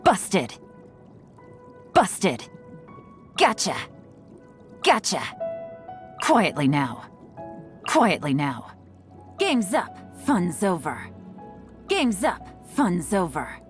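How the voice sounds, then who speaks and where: Casting: young female game intelligence agent, lowish voice, cool, knowing and playful, music underneath. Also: big pitch swings, heavily acted. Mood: sly, confident. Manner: composed, teasing, unhurried, knowing. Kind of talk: video game character voice lines. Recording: studio voice over background music.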